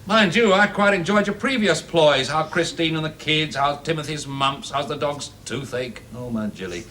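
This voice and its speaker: An actor playing a self-assured, paternal research boss, coaxing and persuasive in 1970s television drama style. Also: male voice, a little high, moderate pace, bright, forceful tone. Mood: teasing, persuasive. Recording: faintly hissy old television soundtrack.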